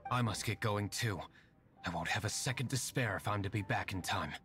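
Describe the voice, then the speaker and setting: An actor playing a cool, aloof young nobleman vigilante in a fantasy video game, with dry, deadpan sarcasm. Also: fairly low pitch, fairly quick, soft background music, lightly acted. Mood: brisk, calculating.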